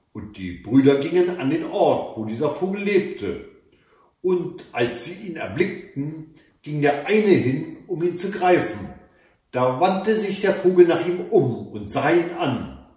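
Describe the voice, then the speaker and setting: A man in his fifties reading a fairy tale aloud in a measured, dramatic way with deliberate pauses. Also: medium pitch, a little mellow. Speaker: storyteller reading fairy tales. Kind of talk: expressive read-aloud fairy tale narration. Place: indoors, book-lined room at home. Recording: echoey room, mic at a distance.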